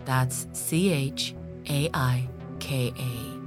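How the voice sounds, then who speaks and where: A woman's voice: rumbling low, very slow, over loud music. A woman reading a scripted audio drama promo as an announcer. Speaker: trailer announcer.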